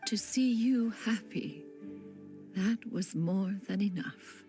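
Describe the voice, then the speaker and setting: A woman's voice: mid-range voice, takes it slowly, natural voice. An actress playing a proud, ancient and wise female crane adeptus in a video game, speaking in lofty, archaic, formal language over music. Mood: haughty, fond. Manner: archaic, lofty diction, refers to herself as one. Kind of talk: scripted game dialogue, storytelling.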